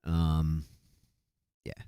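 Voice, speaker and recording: Very deep-voiced man thinking aloud. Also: completely flat delivery, very slow, warm tone, smooth. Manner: hesitant, thinks aloud with many fillers and restarts; calculating aloud while reviewing clips. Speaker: stream host judging beatbox entries. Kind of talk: casual live-stream commentary.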